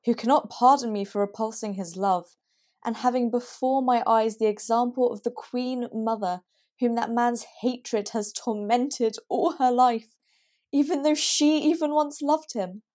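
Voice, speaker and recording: A woman's voice: on the high side, a little slow, a little mellow, close to an everyday voice. A woman playing a proud, persecuted queen in a period audio drama, with formal, theatrical diction. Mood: mournful.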